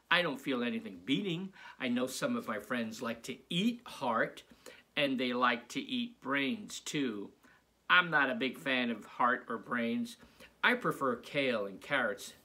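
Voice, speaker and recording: A man's voice: mid-range voice, sharp tone, husky, exaggerated voice. A man voicing a friendly, chatty second-grade vegetarian zombie boy in a confiding storybook narration.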